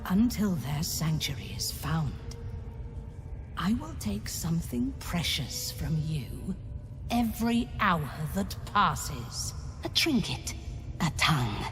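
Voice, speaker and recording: An imperious, cruel villainess, speaks slowly with sweeping intonation in long reverb. Her formal, cold threats belong to a fantasy game commander addressing servants. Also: sits low, big pitch swings, fairly bright tone, full character voice. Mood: cold menace. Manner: formal, menacing, measured. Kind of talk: video game character acting. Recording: long reverb, faint music behind.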